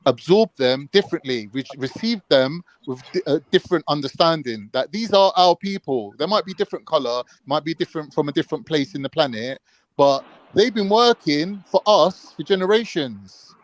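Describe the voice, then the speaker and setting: A man, his voice sitting a little high, argues hard on a live panel. He cuts in, fires back questions and repeats them until he gets an answer. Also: animated, normal speed, dry recording, low-quality recording. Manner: argumentative, interrupts and repeats questions. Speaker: panel guest. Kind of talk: heated debate on a live call-in panel.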